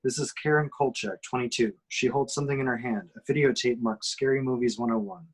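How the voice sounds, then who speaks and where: A man's voice: mid-range voice, fairly quick, poor audio. A man reading scene headings and action lines as scripted narration at an amateur table read. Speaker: reader of screenplay action descriptions.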